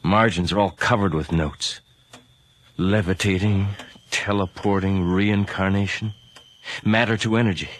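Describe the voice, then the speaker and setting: A man acting a sharp, excitable investigator in a 1980s radio drama, with a North American accent and a wry, insistent, questioning manner. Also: low-pitched, a little slow. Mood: intrigued, insistent. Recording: noisy old radio drama recording.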